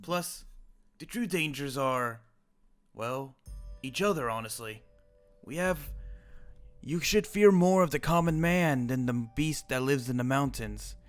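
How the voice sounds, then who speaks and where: A man's voice: mid-range voice, animated, unhurried, soft background music. A young man roleplaying an old immortal wizard, rambling and restarting his sentences. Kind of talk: in-character roleplay chat.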